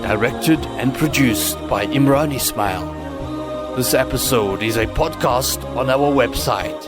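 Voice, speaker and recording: Man, narrator, scripted solemn religious delivery, medium pitch, music underneath. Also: slow.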